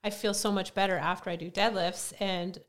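A woman guest in a casual podcast interview, chatty and self-deprecating, who says she has a loud voice. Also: mid-range voice, steady tone, brisk. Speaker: guest, CrossFit coach.

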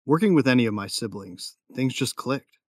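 A man's voice: mid-range voice, expressive, moderate pace, darkish tone, faint music behind, lightly acted. A man playing a tough young gunfighter and protective big brother in a sci-fi audio drama, matter-of-fact and wry. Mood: matter-of-fact, grim. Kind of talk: first-person narration and dialogue in sci-fi audio drama.

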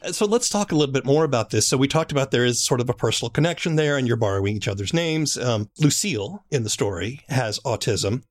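A man hosting a conversational interview, asking questions in a measured, inquisitive way. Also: mid-range voice, lively intonation. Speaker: podcast interviewer.

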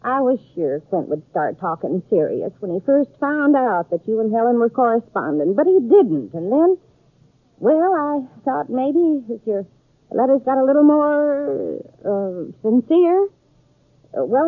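A husky, meddling American frontier woman. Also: on the high side, very animated, no echo. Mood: sheepish confessing. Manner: halting, folksy. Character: sheepish, meddling, bespectacled frontier matchmaking woman. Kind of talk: 1950s radio western acting. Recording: tinny old 1950s radio recording.

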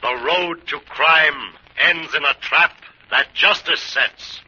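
An actor playing a stern, menacing crime-fighting avenger, declaiming ominously in old-time radio style. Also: male voice, on the high side, takes it slowly, bright, forceful tone, slightly rough, full character voice. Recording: dull, noisy vintage radio recording.

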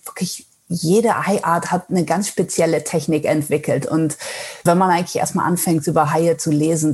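Enthusiastic low-voiced husky woman. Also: darkish tone. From Netherlands. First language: Dutch and German, bilingual. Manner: enthusiastic, many fillers. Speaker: guest, underwater filmmaker. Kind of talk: casual interview. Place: remote from Sweden.

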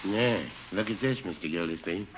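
An actor playing a meek, mild older townsman with understated deadpan delivery in a radio comedy. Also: low-pitched, normal speed, natural voice. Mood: dry, mild. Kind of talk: 1940s radio comedy acting. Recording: dull, very noisy old radio broadcast.

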